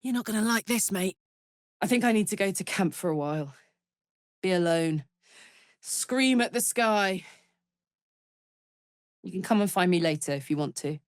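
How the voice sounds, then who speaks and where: An actress voicing a blunt, battle-hardened but warm-hearted woman warrior in a video game. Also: mid-range voice, lively intonation, moderate pace, long pauses, no echo, studio quality, close to an everyday voice. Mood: emotional, yearning. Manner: blunt, swearing, swinging between playful and intense. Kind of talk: video game character voice acting.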